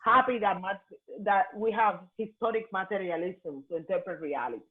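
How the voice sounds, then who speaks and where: Cuban lady hosting a podcast, spanish is her first language, animated and emphatic, sounds like a thin phone line. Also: medium pitch, moderate pace. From Cuba. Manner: animated, emphatic, slightly broken English. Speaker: podcast host. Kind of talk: casual conversation. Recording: tinny phone-like remote call audio.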